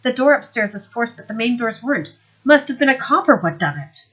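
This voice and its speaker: Lady doing a smug scheming cop, loud and oily, fake innocent, higher voice, muffled sound. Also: lively intonation, fairly quick. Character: smug scheming male police sergeant.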